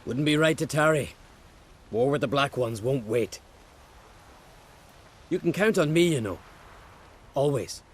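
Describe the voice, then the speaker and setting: An actor voicing a hearty, loyal, plain-spoken island warrior in a fantasy video game. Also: male voice, mid-range voice, animated, measured pace, long pauses, breathy edge. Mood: staunch, sympathetic. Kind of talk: dramatic video game voice acting.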